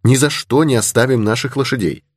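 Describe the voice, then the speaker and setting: A man's voice: on the low side, sing-song, normal speed, slightly rough, dry recording, studio quality, pitched above the performer's own voice, exaggerated voice. A man voicing a brave, candid young creole lady in an adventure audiobook. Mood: anxious, eager. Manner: candid, charmingly simple, assured. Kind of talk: audiobook character in adventure novel.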